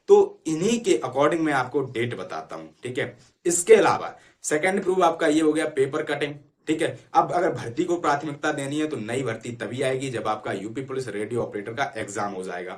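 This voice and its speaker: Man, mid-pitched voice, informal explainer, exhorting exam coach, frequent check-in tags. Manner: repeatedly checks understanding with short tag phrases. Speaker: exam-prep YouTube educator. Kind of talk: informal explanatory talk to students.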